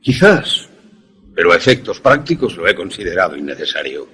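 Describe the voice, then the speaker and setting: A man acts out an eccentric, proud scientist from a suspense radio play. His voice is lowish and very rough, with animated swings in pitch. He speaks formally and deliberately, like a courteous host explaining his creation. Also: full character voice.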